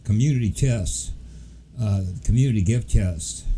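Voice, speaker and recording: Guy streaming and chatting with viewers, getting over the flu, deep-ish super mellow voice, rambly and laid back. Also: measured pace, slight background noise. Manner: rambling, easygoing, many fillers. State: recovering from flu. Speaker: livestream host. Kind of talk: casual livestream chat with viewers.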